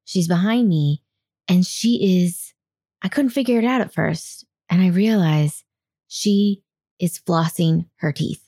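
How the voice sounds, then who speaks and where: A woman's voice: on the low side, slow, mellow. A woman hosting a podcast who tells stories in casual chat, laughing often and acting out quotes. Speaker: podcast host. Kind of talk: casual chat, animated storytelling.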